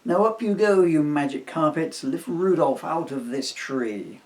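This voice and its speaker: A man voicing a kind, quick-thinking young girl in a children's storybook, with a caring, encouraging manner. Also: on the high side, expressive, voice pushed up. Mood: eager, capable.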